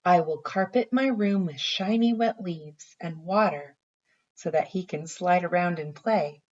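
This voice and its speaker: A woman's voice: lowish voice, unhurried. A woman reads as an earnest young boy in a bedtime storybook, full of imaginative plans. The recording is a little dull and muffled. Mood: eager. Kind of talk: bedtime story read aloud.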